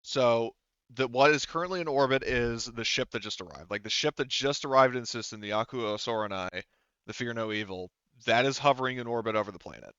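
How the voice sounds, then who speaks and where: A man narrating a tabletop roleplaying game as game master, improvising in a halting, hedging way. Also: mid-range voice, a bit bright, clear, resonant tone, no room sound, muffled recording.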